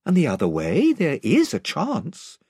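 A man's voice: fairly high pitch, wildly swooping pitch, a little slow, darkish tone, husky, no echo, studio quality, pitched above the performer's own voice, heavily acted. A man voicing a brave young girl who speaks earnestly and with resolve.

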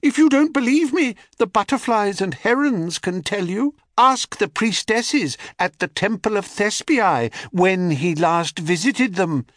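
A man's voice: high-pitched, expressive, unhurried, hardly any pauses, fairly bright tone, dry recording, studio quality, pitched above the performer's own voice. A man voicing a brave little female chaffinch who pleads urgently with a queen. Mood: urgent pleading.

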